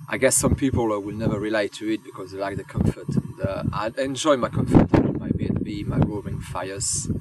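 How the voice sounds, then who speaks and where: A man, a hobby wild camper, thinking aloud in relaxed interview answers, pausing with lots of ums and restarting his sentences. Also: on the low side, very noisy. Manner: hesitant, many ums and restarts. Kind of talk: casual reflective interview answers. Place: outdoors on moorland. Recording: distant voice under loud music.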